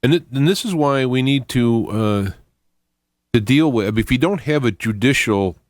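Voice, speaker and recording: A man's voice: medium pitch, expressive, normal speed, no room sound, clean studio sound. A middle-aged man hosting a radio interview, explaining his points at length in colloquial, conversational language. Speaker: radio host.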